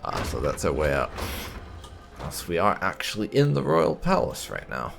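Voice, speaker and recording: A man giving roleplay commentary on a video game, thoughtful and deliberate, voicing his lines in between remarks. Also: low-pitched, wildly swooping pitch, gravelly, fairly bright tone, faint music behind. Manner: thoughtful, deliberate, reading character dialogue aloud. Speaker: gaming channel host. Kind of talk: roleplay let's play commentary.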